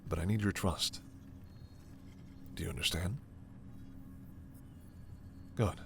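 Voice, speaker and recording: A man playing a gentle, authoritative alien doctor in a sci-fi ASMR roleplay, speaking in a measured, formal and reassuring way. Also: low-pitched, vocal fry, a little hiss or hum, exaggerated voice. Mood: grave, soothing. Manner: measured, formal, reassuring, soft-spoken asides. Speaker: voice performer.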